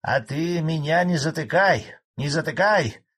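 A man voicing a spiteful old male collective farmer who rants gruffly and defiantly. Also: on the high side, lively intonation, a little slow, a bit bright, voice pushed up, heavily acted. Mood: angry.

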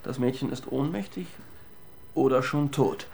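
A man's voice: mid-range voice, measured pace, gravelly, a little mellow. An actor playing a cheeky, thoughtful male police detective in a German radio crime drama, speaking in a wry, conversational way. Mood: pondering.

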